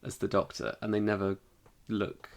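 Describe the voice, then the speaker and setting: A man's voice: on the low side, little pitch movement, moderate pace. A man co-hosting a podcast in casual chat, with a wry, offhand manner. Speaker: podcast co-host.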